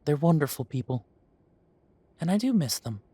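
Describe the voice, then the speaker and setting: An actor playing a kindly café owner in a fantasy town, talking to the listener in an unhurried, courteous, slightly formal way in a roleplay audio. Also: male voice, a little high, sing-song, warm tone, husky, no echo. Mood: fond, wistful.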